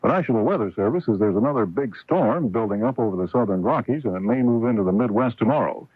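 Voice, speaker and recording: A man's voice: medium pitch, animated, fast talker, breathy edge, no echo. An American man reading a scripted radio newscast as anchor, heard on a dull old AM broadcast.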